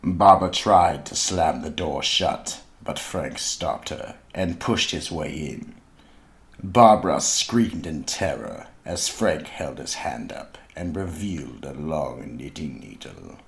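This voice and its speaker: A man narrating a radio mystery drama in an ominous, deliberate storytelling manner. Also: very deep voice, expressive, vocal fry.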